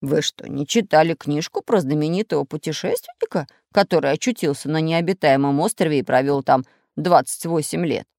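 A woman's voice: sits low, fairly quick, gravelly, hardly any pauses, a little mellow, dry recording, clean studio sound. A woman voicing a learned, fussy, cautious male geography professor in a children's storybook. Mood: astonished. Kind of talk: children's storybook character acting.

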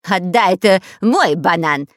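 Woman playing a whiny, excitable bandit spy. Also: a little high, moderate pace, no room sound, studio quality, voice pushed up. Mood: indignant. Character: long-nosed male bandit spy, whiny, excitable, boastful. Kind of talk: children's storybook character acting.

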